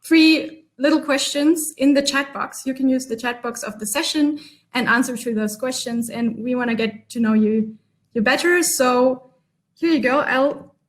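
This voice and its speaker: Woman, fairly high voice, chatty facilitator, video call audio. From Germany. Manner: chatty, upbeat, many ums and restarts. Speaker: workshop facilitator. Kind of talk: online workshop presenting.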